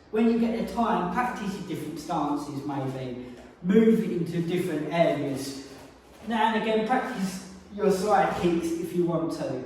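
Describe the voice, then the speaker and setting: A man's voice: high-pitched, slight background noise. A man who is a martial arts instructor, giving a casual spoken lesson on technique and explaining while he demonstrates the moves. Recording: very echoey room, mic far off.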